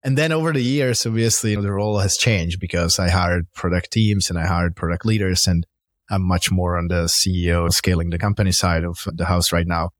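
A man's voice: deep voice, fairly quick, dry recording, studio quality. A middle-aged man with a Czech accent giving conversational, explanatory interview answers as a company founder. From Czech Republic. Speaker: startup founder and CEO, interview guest.

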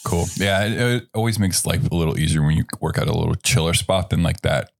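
Very low, raspy American man hosting. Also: fast talker. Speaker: podcast host and bartender. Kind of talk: casual podcast hosting.

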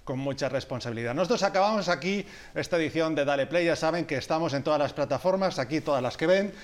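Male, news anchor, high-pitched voice, presenter delivery. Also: fast talker. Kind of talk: news presenting with co-host banter.

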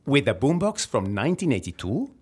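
A man's voice: mid-range voice, very animated, brisk, darkish tone, slightly rough. A man playing a blunt, sceptical and mischievous sidekick in a comedy audio drama, speaking with incredulity. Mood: incredulous.

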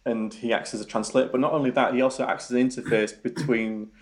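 A man's voice: medium pitch, fairly even intonation, quick pace. A young man with a Yorkshire accent, a researcher answering interview questions in a hesitant way, full of ums and repeated words. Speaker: robotics researcher guest.

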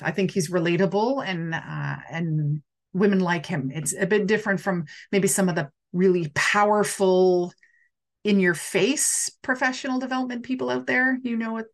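A middle-aged woman hosts a casual live stream, talking straight to her viewers in a chatty, animated way. Her voice sits at an ordinary middle pitch, and she reads out their comments as she goes. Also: moderate pace. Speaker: coach hosting a live stream.

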